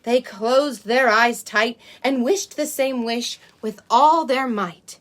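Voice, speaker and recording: A woman's voice: on the high side, takes it slowly, fairly bright tone, slight background noise. A woman playing a sweet, wide-eyed unicorn who hosts story time for children, with cooing wonder and exaggerated emphasis. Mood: storytelling wonder. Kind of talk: animated picture-book reading for children.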